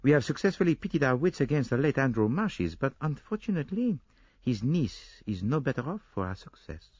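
A man voicing an older, fussy and excitable Belgian detective with a pompous, theatrical manner. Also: medium pitch, a little fast, breathy edge, dry recording, muffled recording, voice pushed up. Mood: self-assured.

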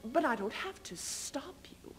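A woman playing a proud, scheming medieval queen in a theatrical stage reading, taunting her husband with measured, biting speech. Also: high-pitched, big pitch swings, faint music behind. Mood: cool, taunting. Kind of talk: theatrical readers theater duo performance. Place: stage in front of curtain.

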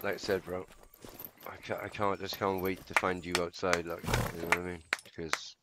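A man's voice: low-pitched, little pitch movement, normal speed, very noisy. A man in a heated argument on game voice chat, swearing and hurling insults. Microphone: gaming voice chat microphone.

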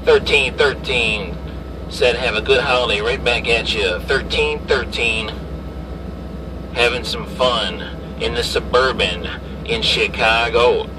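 A man works the CB radio from inside a vehicle, calling out and repeating handles. His voice is mid-pitched and picked up from a short distance. Clear vehicle noise runs behind him. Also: slow pace. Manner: repeats callsigns and phrases. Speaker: CB radio operator. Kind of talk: CB radio chat. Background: vehicle and ventilation noise.

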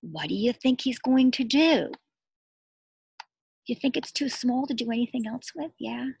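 A middle-aged woman reads a picture book to preschoolers in a very lively, up-and-down voice. Her tone has a husky edge, and the sound is dry and close, without room echo. She speaks like a teacher, putting questions to the children and leaving space for their answers. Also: on the high side. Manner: animated, exaggerated expression, asking questions and pausing for answers. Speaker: preschool teacher reading a storybook aloud. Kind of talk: interactive picture-book read-aloud for young children. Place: outdoors among trees and shrubs.

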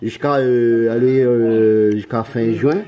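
A man who owns a restaurant, chatting casually and haltingly with many fillers. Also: medium pitch, fairly even intonation, muffled recording, crowd noise. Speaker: restaurant owner. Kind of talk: casual conversation.

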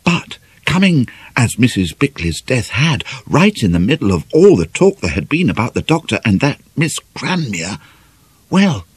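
A British man narrates a novel in a dramatic, scripted style. His voice is medium-pitched and slightly husky, with lively, expressive intonation, recorded dry and close. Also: no echo. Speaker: audiobook narrator.

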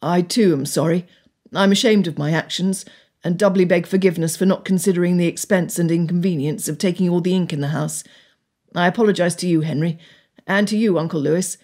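Earnest well-bred boy, voiced by a woman, lowish pitch, polite, formal, firm, storybook character. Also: fairly quick, a little mellow, natural voice. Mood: earnest, contrite. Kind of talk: audiobook character dialogue.

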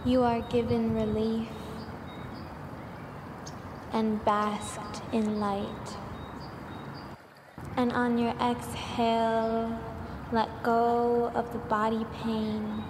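A woman with a fairly high voice leads a soothing guided meditation in an even, barely varying tone. She speaks extremely slowly, leaving long pauses between affirmations. The recording is noisy and washed in long reverb.

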